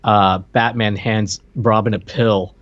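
A man's voice: on the low side, steady tone, slow, highs cut off. A man in his thirties co-hosting a podcast, casually recapping a film on a video call. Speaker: podcast co-host. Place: indoor room on a video call.

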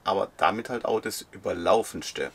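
A man's voice: low voice, normal speed. A man in his fifties chatting casually inside a camper van and narrating a travel vlog. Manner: relaxed, chatty, agrees often. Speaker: travel vlogger. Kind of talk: casual chat and voice-over narration.

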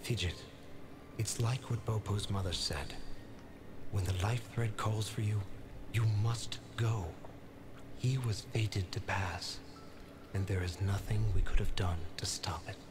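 A man voicing an ancient talking sword in a game, calm and measured like a sage. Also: fairly low pitch, noisy background, close to an everyday voice. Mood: solemn. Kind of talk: game cutscene dialogue.

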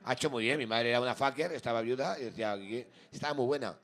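A man, joking loudly and crudely as a comedy game host in a small bar, speaking into a handheld mic. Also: mid-range voice, quick pace. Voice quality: gravelly. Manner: loud, rambling, crude joking. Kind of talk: drunken comedic banter. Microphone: handheld microphone.

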